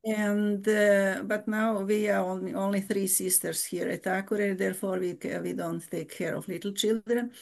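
Woman, older, nun, halting, many restarts, frequent laughs. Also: medium pitch, steady tone, gravelly, darkish tone. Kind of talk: casual interview.

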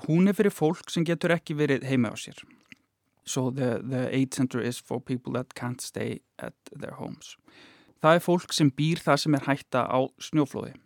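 A man's voice: medium pitch, moderate pace, vocal fry, dry recording, clean studio sound. A man with an Icelandic accent reading and translating simple news, a little hesitant as he searches for words. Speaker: news writer and language-teaching guest.

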